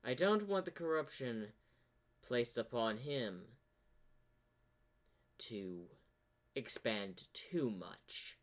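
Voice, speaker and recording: Guy doing an evil zebra boss for a cartoon fan story, slow and ominous, giving orders all menacing. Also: medium pitch, animated. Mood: scheming. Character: sinister corrupted male zebra, commanding, menacing dark mastermind.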